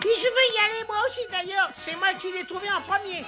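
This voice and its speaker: Tiny grumpy smurf, super high squeaky pitch, french cartoon, loud music behind. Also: fast. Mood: indignant. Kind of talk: French animated cartoon dialogue.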